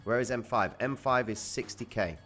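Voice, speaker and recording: A man's voice: medium pitch, normal speed, fairly bright tone, faint music behind. A young man from Cyprus, casually hosting a trading livestream and answering viewer comments in slangy, laid-back chat.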